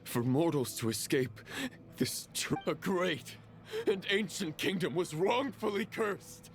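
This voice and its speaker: An actor playing a righteous knight in a video game, declaiming solemnly in archaic, theatrical phrases. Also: male voice, fairly high pitch, lively intonation, takes it slowly, slight background noise. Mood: righteous anguish. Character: solemn, archaic male knight, zealous for justice. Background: background music.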